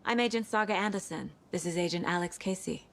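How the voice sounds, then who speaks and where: A woman's voice: lowish voice, fast, creaky, a bit bright, a little hiss or hum. An actress playing a composed, professional female FBI agent in a game cutscene. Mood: steady. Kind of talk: video game cutscene acting.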